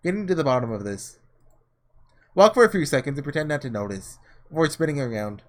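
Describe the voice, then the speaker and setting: A man's voice: fairly high pitch, lively intonation, fairly quick. A man doing let's-play commentary, reading a visual novel aloud dramatically and tossing in offhand, sarcastic asides. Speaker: gaming host reading aloud and reacting.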